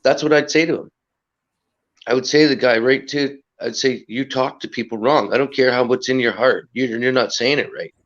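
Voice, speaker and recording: Raspy, earnest host of a lo-fi livestream, mid-pitched and a bit muffled, rambling and preachy. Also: male voice, normal speed. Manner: earnest, rambling, preachy about energy and respect. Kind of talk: casual livestream chat.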